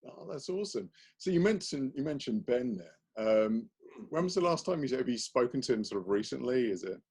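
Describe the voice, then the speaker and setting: A man's voice: mid-range voice, animated, brisk, a little mellow, slightly rough. A man interviewing a guest casually, with an enthusiastic, encouraging manner. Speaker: interviewer, show host.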